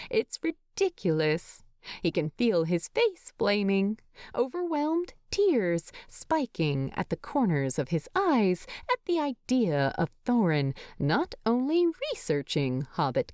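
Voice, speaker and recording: A woman narrating a story in an expressive, tender way. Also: on the low side, takes it slowly, dry recording, studio quality. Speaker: fan fiction narrator.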